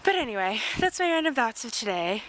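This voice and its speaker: A woman's voice: a little high, big pitch swings, fairly quick, a bit bright, a little hiss or hum. A young American woman, a student traveling abroad, chatting casually and rambling to her sibling in a travel vlog, outdoors at a castle. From United States. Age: young adult.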